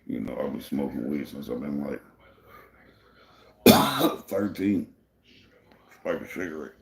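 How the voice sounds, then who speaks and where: Laid-back male livestream host, very low gravelly voice, echoey room, rambling delivery. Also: expressive, slow pace. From Michigan, USA. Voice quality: deep gravelly voice, people say it suits radio. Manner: laid-back and rambling, many "you know" fillers. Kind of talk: casual livestream chat answering viewers.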